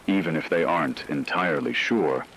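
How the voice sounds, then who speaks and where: A man playing a grave radio news anchor in a world collapse, reading scripted news in a solemn, formal, measured way. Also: very deep voice, a bit bright, a little hiss or hum, rough recording. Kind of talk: scripted dramatic news broadcast narration.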